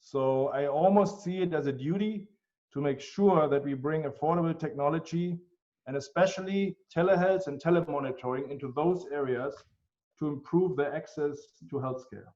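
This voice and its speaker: A man with a German accent answers interview questions, earnest and measured, pausing on ums. His voice sits a little high for a man. The recording sounds muffled and lo-fi. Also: darkish tone. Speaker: guest, healthcare tech executive. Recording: dull, lo-fi sound.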